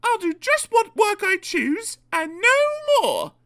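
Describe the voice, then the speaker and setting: A woman's voice: very high-pitched, wildly swooping pitch, slow pace, a bit bright, voice pushed up, full character voice. A woman voicing a vain, fussy female diesel rail car in a theatrical, self-important storybook manner. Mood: smug.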